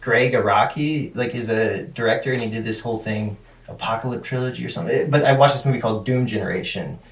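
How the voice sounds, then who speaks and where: A man's voice: mid-range voice, fast talker, far from the mic. A man chatting casually as a guest on a talk show. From born in California, grew up in Germany. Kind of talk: casual chat. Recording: echoey room, off-mic, faint noise.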